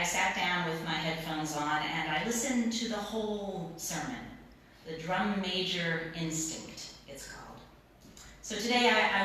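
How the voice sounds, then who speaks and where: A middle-aged woman pastor preaching in a plain-spoken, informal storytelling way, pausing and repeating words for emphasis. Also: on the low side, pushed and bright. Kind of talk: sermon, conversational storytelling. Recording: very echoey room, distant mic, noisy.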